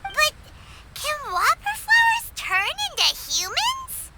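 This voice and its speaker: Very high, squeaky fairy companion. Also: female voice, huge pitch swings, takes it slowly, very noisy, heavily acted. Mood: puzzled. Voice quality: squeaky, childlike. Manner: exclamatory, wide-eyed questioning. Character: small childlike floating fairy companion, curious and excitable. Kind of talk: animated game cutscene voice acting. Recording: music under the voice.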